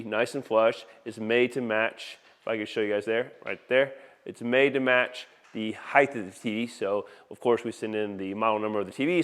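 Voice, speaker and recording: A man with a fairly low, smooth voice walks viewers through an audio install. He speaks informally and with enthusiasm, like an installer proud to sell his work. It is recorded on a handheld phone inside an open living room. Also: fairly bright tone. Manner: informal, enthusiastic salesman-like patter. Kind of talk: casual video walkthrough. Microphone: handheld phone camera.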